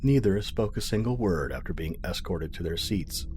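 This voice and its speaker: Deep voiced guy narrating an audio drama, clear background noise and ambience behind him. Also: animated, moderate pace.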